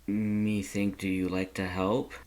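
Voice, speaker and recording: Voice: low voice, flat delivery, takes it slowly, darkish tone. A reader voicing a flustered, protective male skeleton monster who rambles and stammers when nervous in a fan fiction story. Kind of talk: fan fiction read-aloud character voice.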